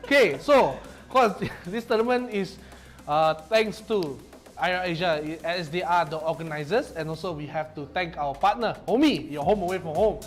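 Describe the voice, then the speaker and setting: A man's voice: sits high, normal speed, faint music behind. A man casting an esports tournament stream, joking and mock-complaining with his co-caster. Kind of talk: livestream casting banter.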